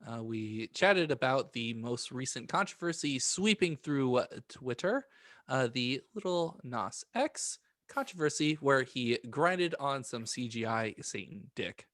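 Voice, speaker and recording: Bright-voiced man bantering on a podcast. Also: medium pitch, expressive, measured pace, no echo, clean studio sound. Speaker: podcast co-host. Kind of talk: casual joking podcast banter.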